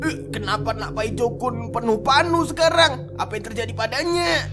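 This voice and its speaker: A man plays a vain, bossy older man in a comic horror cartoon. He teases and blusters, stumbling over little interjections. Clear music plays behind the voice. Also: extremely high pitch, normal speed. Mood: confused, worried. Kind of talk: comic amateur cartoon voice acting.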